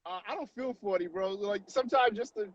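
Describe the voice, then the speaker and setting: A man in his late thirties from Haiti, a guest telling stories in a casual, animated way over a video call, often tagging on questions. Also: high voice, fairly quick, dull audio, a crowd in the background. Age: 30s. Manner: animated, with frequent tag questions. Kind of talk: casual storytelling on a live stream.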